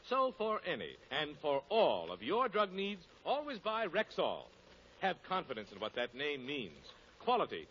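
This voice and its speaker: A man's voice: on the high side, pushed and bright. A man speaking as a 1940s radio straight man and announcer, with a polished, emphatic scripted delivery. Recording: muffled, noisy old radio recording.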